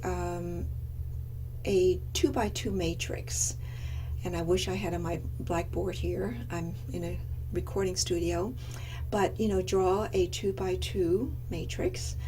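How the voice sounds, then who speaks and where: A middle-aged woman lecturing from a recording studio, talking slowly with many pauses and fillers and putting questions to her listeners. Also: mid-range voice, dark tone, slight background noise, rough recording. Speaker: university lecturer.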